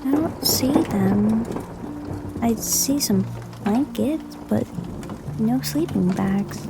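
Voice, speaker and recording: Woman playing a slow-talking schoolgirl, music behind. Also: fairly high pitch, expressive, vocal fry, exaggerated voice. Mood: questioning. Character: schoolgirl daughter, practical, gently questioning. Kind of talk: audio drama dialogue.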